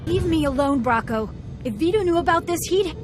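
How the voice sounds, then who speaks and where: A woman's voice: very high-pitched, lively intonation, brisk. An actress playing a feisty, defiant young woman in a video game. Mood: angry defiance. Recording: clear music behind the voice.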